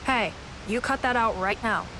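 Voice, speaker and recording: Woman acting a high-voiced, feisty young fighter. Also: normal speed, noisy background, close to an everyday voice. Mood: brisk, assured. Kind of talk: video game voice acting with battle cries.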